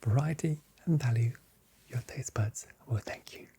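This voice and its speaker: A very soft, whispering voice in an ASMR role play as a grocery shop assistant, giving gently playful sales patter. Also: medium pitch, measured pace, vocal fry, a little hiss or hum.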